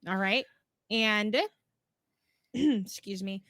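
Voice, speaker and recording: A woman's voice: medium pitch, drawn-out words, fairly bright tone, no room sound, clean studio sound. A woman co-hosting a live stream tutorial, upbeat and affirming as she walks viewers through each step, her voice a little worn. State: slightly losing her voice. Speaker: co-host, platform guide.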